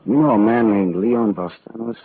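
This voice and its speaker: A man plays a wisecracking American detective, dry and clipped, in 1940s radio style. The voice is low, and the old recording is muffled with clear background noise. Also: normal speed, lightly acted. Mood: cool, probing. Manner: sardonic, clipped. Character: wisecracking, cool hard-boiled male private detective. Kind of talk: 1940s radio acting and first-person narration.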